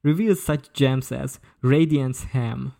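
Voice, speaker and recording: Guy with a gravelly, fry-heavy voice telling a story on a podcast, mid pitch. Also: takes it slowly, dark tone. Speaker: podcast panelist presenting a story. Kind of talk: casual presentation with read-out excerpts.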